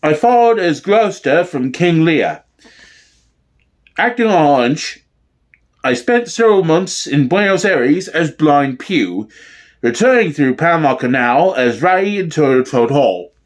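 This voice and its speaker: A man's voice: a little high, expressive, measured pace. A man playing a pompous, theatrical police superintendent giving formal, self-important testimony in a comedy sketch. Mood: proud. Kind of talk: mock-documentary testimony.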